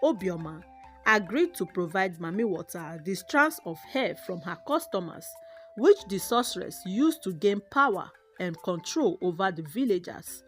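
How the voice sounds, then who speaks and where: A woman narrates a scripted folktale in an expressive, dramatic manner, with background music. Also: fairly low pitch, moderate pace. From Nigeria. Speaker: storyteller, narrator.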